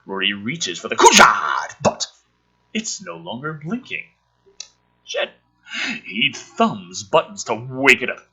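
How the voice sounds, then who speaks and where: Animated, sharp-edged husky man ranting. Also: medium pitch, slow pace, long pauses. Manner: sarcastic, exaggerated dramatic delivery when reading; rambling, profane. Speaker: host reading and commenting on a film script draft. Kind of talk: casual sweary commentary mixed with dramatic script read-aloud.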